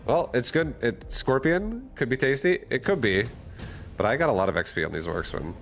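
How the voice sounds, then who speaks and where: A man's voice: medium pitch, very animated, normal speed, a bit bright, faint music behind. A man casually thinking aloud and commenting on his own strategy game as he streams it. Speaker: gaming streamer.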